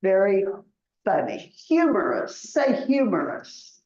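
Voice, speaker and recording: Woman teacher, Oklahoma accent, very slow and patient delivery, roomy muffled classroom recording. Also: medium pitch, big pitch swings, darkish tone. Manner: patient, repeats words for learners, laughs often. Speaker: English and citizenship teacher. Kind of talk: classroom language lesson.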